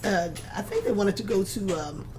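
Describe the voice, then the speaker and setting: A woman's voice: mid-range voice, animated, normal speed, music in the background. A woman with an American accent chatting casually as an agreeable, supportive conversation partner. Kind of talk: casual chat.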